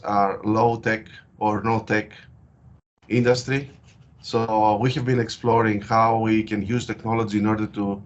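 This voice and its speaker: A man from Greece with a Greek accent, speaking in an interview as a guest researcher and explaining his work with frequent hesitant pauses. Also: lowish voice. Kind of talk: interview over a call. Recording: dull lo-fi call audio.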